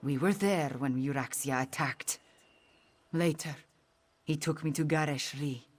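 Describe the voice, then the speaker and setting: A woman playing a proud, solemn exiled princess in a fantasy game, speaking gravely and formally in a husky voice. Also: a little slow. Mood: somber. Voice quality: low, husky. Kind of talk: video game character acting.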